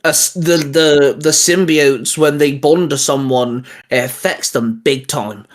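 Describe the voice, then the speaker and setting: A man's voice: fairly high pitch, steady tone, slow pace. A young man co-hosting a casual geeky chat, rambling with lots of fillers and restarts. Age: young adult. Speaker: channel co-host.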